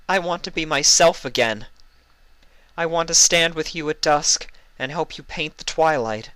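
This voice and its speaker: A man's voice: a little high, sharp tone, clear, resonant tone, dry recording, voice pushed up. A man voicing an anxious, guilt-ridden female pony princess from a fan story, pleading and earnest. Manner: emotional, earnest, pleading delivery.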